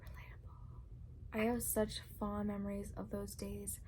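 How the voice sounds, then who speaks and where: A woman reading a story aloud in ASMR style, speaking softly and often dropping into a whisper. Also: mid-range voice, level tone, a little slow. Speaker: ASMR reader.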